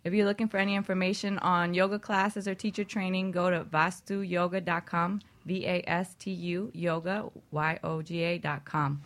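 A woman co-hosting a radio show, delivering a clearly spelled-out sign-off and plug. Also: on the low side, little pitch movement, moderate pace, few pauses, fairly bright tone. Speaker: show co-host, yoga teacher.

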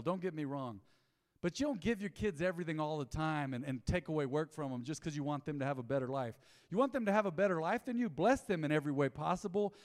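A man preaches in a church sanctuary. His voice sits a touch high and rings with the long reverb of a big hall. He tells stories in a folksy way, slipping into low asides and then rising to loud, emphatic bursts. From Texas. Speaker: pastor. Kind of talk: sermon.

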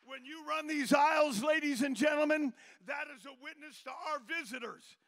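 A man with a very high, gravelly voice preaches a fiery Pentecostal sermon. He shouts and exhorts the congregation in a bright, tense tone. His voice pushes hard in a church sanctuary. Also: normal speed. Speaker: pastor.

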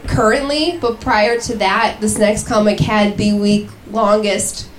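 A woman's voice: medium pitch, fairly even intonation, unhurried. A woman hosting a comedy night, introducing comics with rambling, self-deprecating banter. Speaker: comedy show host. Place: comedy club with a crowd. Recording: echoey club, loud crowd and noise.

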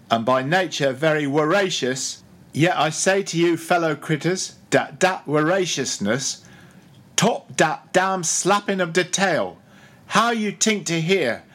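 Man acting a grumbling old ship's cook, preaching loudly. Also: fairly high pitch, pitched above the performer's own voice. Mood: grudging, scolding. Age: older. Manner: grumbling, solemn mock-preacher delivery. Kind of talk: audiobook character voice.

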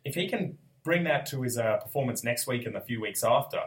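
A young Australian man hosting a casual sports podcast, slightly gravelly from a cold, chatty and quick to laugh. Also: medium pitch, lively intonation. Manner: chatty, laughs often, restarts mid-sentence. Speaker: podcast host.

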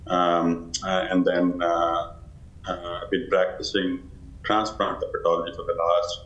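Halting low-voiced man on a dull video call. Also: level tone, fairly bright tone, reverberant. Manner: halting, many pauses. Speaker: transplant physician guest. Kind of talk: answering interview questions.

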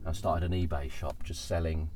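Low-voiced young English man rambling. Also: steady tone, brisk, a little mellow, soft background music. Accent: southern English, London-like. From Essex, England. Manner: rambling, self-interrupting with stammered restarts. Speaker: podcast guest, comedian. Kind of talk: casual chat.

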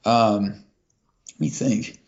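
A man's voice: on the low side, slow pace, creaky. Rambling male podcast co-host, muffled sound. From Kentucky. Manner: rambling, many restarts and fillers. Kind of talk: casual podcast chat. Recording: lo-fi muffled audio.

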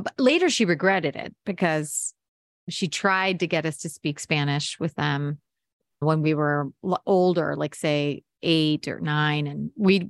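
Low-voiced woman, lively storyteller. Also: no echo, studio quality. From United States. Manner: lively storyteller, acts out quoted dialogue. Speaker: podcast guest. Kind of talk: casual interview chat.

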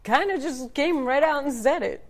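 A woman's voice: high voice, lively intonation, normal speed. Woman candidly telling a story. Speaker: model, guest. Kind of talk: casual interview storytelling.

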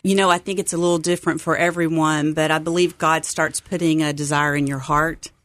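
A middle-aged woman from Texas talks as an interview guest. Her voice sits a little low for a woman and sounds upbeat and earnest. The sound is close and dry. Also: flat delivery, fairly quick, fairly bright tone, dry recording. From Texas, United States. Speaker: interview guest, seminary site coordinator. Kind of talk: conversational radio interview.

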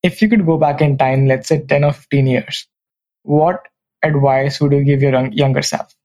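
Male podcast host, bright-ish higher voice, asks prepared questions. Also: steady tone, normal speed. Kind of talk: interview questions.

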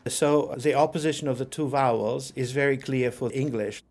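A man, a lecturer and linguist, explaining pronunciation in a measured, precise way with pauses and fillers. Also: mid-range voice, fairly even intonation, darkish tone. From Ukraine. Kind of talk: explanatory interview answers.

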